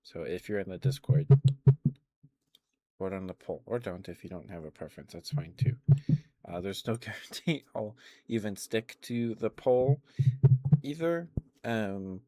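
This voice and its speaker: Low-voiced man rambling in close ASMR. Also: animated, measured pace, pauses often, dark tone, no room sound. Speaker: live streamer. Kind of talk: ASMR, casual rambling chat to live viewers. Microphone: close ASMR microphone.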